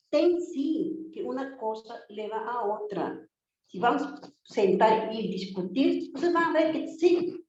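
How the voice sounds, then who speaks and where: A non-native woman speaks with fierce conviction, hammering her points home through rhetorical questions and long lists. Her voice sits a little high and rings with heavy echo. The recording is dull and lo-fi, with faint noise underneath. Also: darkish tone. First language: not Portuguese, learned it on her own. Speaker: guest speaking for domestic workers. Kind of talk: impassioned spoken testimony. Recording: very echoey, dull lo-fi remote audio with faint noise.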